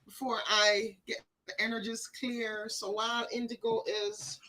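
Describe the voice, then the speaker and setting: A woman co-hosting a live psychic reading stream, chatting with viewers and greeting them by name, a little hoarse. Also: fairly high pitch, level tone, measured pace, background noise. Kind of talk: casual live-stream chat.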